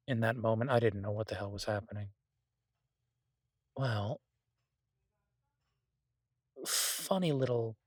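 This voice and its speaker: A man playing a laid-back, idealistic chef in an audio roleplay, talking casually with hesitant pauses and mild swearing. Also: lowish voice, slightly rough, no echo, studio quality, exaggerated voice. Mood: tentative, confiding. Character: laid-back, idealistic male chef, devoted to honest food. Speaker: chef and restaurant owner character in an audio roleplay. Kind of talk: intimate conversational audio roleplay.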